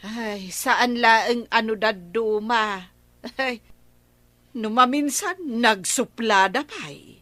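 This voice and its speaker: Grudging neighbour woman, Ilocano radio comedy, highish dry voice, full of excuses. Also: drawn-out words, sharp tone, no echo, full character voice. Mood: gossipy. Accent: Filipino, Ilocano. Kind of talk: broadcast radio comedy drama acting.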